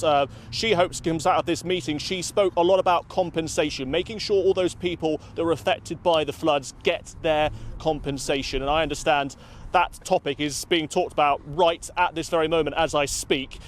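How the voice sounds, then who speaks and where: A man with a British accent reporting the news in a measured, emphatic broadcast style, both scripted and live. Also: a little high, slight background noise.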